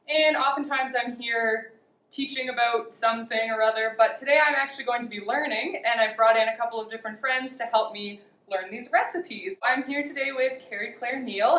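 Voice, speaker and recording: A young woman hosting a casual outdoor cooking demo, chatty and quick to laugh. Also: fairly high pitch, fairly bright tone. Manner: chatty, laughs often, upbeat. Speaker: outdoor cooking show host. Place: outdoor cooking spot at a river park. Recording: echoey, distant, faint noise.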